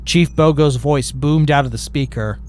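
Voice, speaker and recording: A man's voice: fairly high pitch, moderate pace, darkish tone. A man narrating a fan fiction story in a plain, matter-of-fact way.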